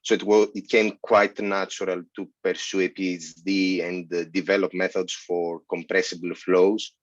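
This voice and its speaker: A man in his forties, originally from Greece, answering interview questions over a video call in a measured way, with many fillers. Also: low voice, level tone, no echo. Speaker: guest, senior lecturer. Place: office, indoors. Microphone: headset on a video call. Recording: dull, dry video call audio.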